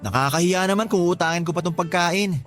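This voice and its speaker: A friendly young laborer, voiced by a man, in a radio drama, shy and polite. His voice is high for a man, with soft music playing behind. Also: fast. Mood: apologetic. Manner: friendly, apologetic.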